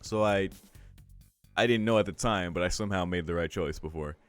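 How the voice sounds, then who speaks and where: A man with a low voice giving casual commentary as he reads through a visual novel on a stream. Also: brisk, clear, resonant tone, faint music behind. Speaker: streamer reacting to a game. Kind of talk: casual commentary while reading visual novel text aloud.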